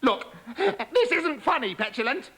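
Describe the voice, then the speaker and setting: A man voicing a prim, frightened young frog prince who squeaks in panic. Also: big pitch swings, unhurried, sharp tone, exaggerated voice. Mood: panicked. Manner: squeaky, panicky, plummy indignation.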